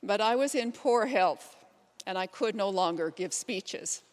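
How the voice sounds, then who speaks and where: Readers theater role: determined pioneer suffragist, played by a woman, measured monologue, mid-range voice ringing in a hall. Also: medium pitch, lively intonation, natural voice. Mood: proud, reflective. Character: elderly pioneer suffragist, determined and plain-spoken, recounting her life. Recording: reverberant hall sound.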